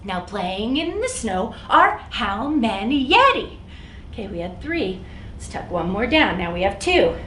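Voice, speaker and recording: An American woman hosting an interactive story time for young children, speaking simply and with lots of animation. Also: fairly high pitch. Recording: roomy echo, some background noise.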